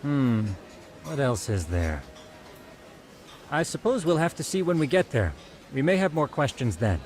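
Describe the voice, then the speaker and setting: A genial, methodical detective in a game cutscene. His medium-pitched voice swings expressively through polite, slightly theatrical phrasing, over a faint rumbling noise. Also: big pitch swings, measured pace, darkish tone. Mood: thoughtful. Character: genial, methodical male detective with quaintly formal speech. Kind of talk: video game cutscene acting.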